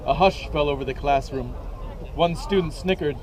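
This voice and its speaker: High-voiced man reading aloud outdoors. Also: moderate pace, a little mellow, rough recording. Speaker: author reading his novel. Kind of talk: comic dramatic reading. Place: outdoors at a medieval combat event.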